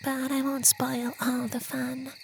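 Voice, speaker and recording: Slow, high, whispery gentle vampire played by a man. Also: monotone, a little hiss or hum, heavily acted. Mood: gentle, confiding. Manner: soft, breathy, half-whispered, slow and soothing. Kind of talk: ASMR roleplay.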